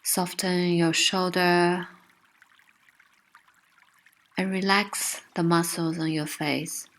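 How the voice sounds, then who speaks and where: A woman narrating a guided meditation with gentle, soothing, unhurried instruction. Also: on the low side, steady tone.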